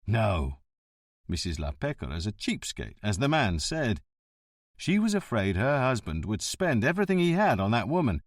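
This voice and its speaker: A man voicing a shrewd, wry police chief who questions calmly and dryly. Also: on the low side, dry recording. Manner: calm, dry and measured.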